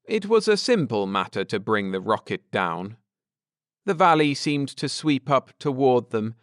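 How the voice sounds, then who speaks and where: Slow, smooth, expressive male audiobook narrator. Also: medium pitch, no echo, studio quality. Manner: measured, expressive storytelling. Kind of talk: scripted bedtime story narration, read aloud.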